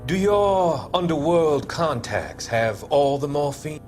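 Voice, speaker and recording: An actor playing a calculating doctor in a video game, speaking in a measured, formal, patronizing way. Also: male voice, on the high side, lively intonation, darkish tone, faint music behind. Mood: smooth persuasion. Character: smooth, calculating male doctor, formal confidant and schemer. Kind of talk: video game dramatic acting.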